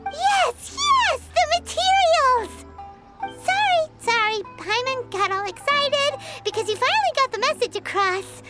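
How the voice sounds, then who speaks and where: Super high squeaky little fairy mascot, excitable anime game kid voice, loud music under it. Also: female voice, moderate pace, few pauses. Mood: excited. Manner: cute, squeaky, childlike, exclaiming. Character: tiny excitable childlike floating fairy companion, speaks in third person. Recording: music behind the voice.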